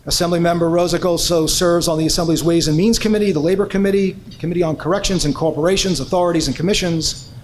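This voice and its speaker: A man presents honorees at an awards event. He reads formal biographies in a slightly high voice and fills his pauses with "uh". Faint room noise sits under the speech. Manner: reads prepared bios with frequent "uh" fillers.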